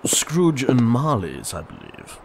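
An actor playing a polite, earnest gentleman charity collector in an audio play, speaking formally. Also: mid-range voice, huge pitch swings, takes it slowly, a little hiss or hum, heavily acted. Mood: courteous.

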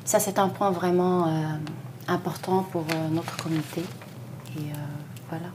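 A woman's voice: lowish voice, fairly even intonation, unhurried, background noise. A young woman from southern Morocco, a graduate student, answering in a studio TV interview with a hesitant delivery full of fillers. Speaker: graduate student, committee volunteer. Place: small TV studio. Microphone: lapel.

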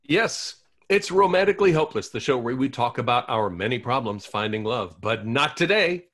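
A man's voice: mid-range voice, moderate pace. A man reading the part of an eager, lovesick young radio host at a table read. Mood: upbeat. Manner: eager and excitable.

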